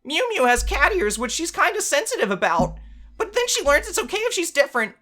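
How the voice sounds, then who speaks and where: A woman's voice: sits high, big pitch swings, a little fast, fairly bright tone, pitched above the performer's own voice. A woman voicing a timid, nervous, geeky reptile scientist with a hesitant, earnest manner in a visual novel game. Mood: gushing, earnest. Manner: hesitant, stammering, gushes when passionate. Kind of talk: amateur visual novel voice acting.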